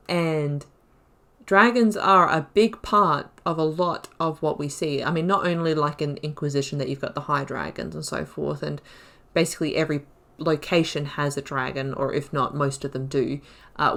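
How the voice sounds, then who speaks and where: A woman's voice: low-pitched, fairly bright tone. A woman hosting a gaming video, reading lore aloud and adding informal, self-correcting asides. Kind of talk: reading game lore aloud with casual commentary.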